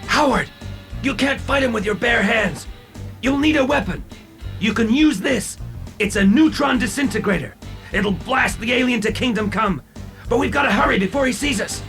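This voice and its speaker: A high, bright male voice playing an anxious young scientist sidekick in a children's read-along adventure. He is frantic and excitable, exclaiming his way through the story. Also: normal speed, faint music behind. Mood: excited, alarmed.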